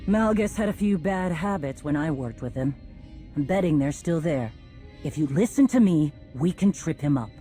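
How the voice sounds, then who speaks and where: A woman's voice: deep voice, animated, normal speed, warm tone, soft background music. A woman playing a tough, stubborn warrior leader in a space-opera video game, speaking with blunt determination. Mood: confident. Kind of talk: cinematic video game acting.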